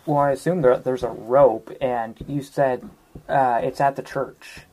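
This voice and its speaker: A woman's voice: rumbling low, fairly even intonation, measured pace, warm tone, poor audio. A woman playing a tabletop game, casually asking questions and declaring actions.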